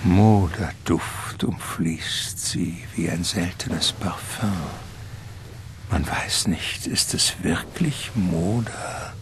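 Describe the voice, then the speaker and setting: A man narrating a radio drama from a script in a deliberate, suspenseful manner. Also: deep voice, whispered.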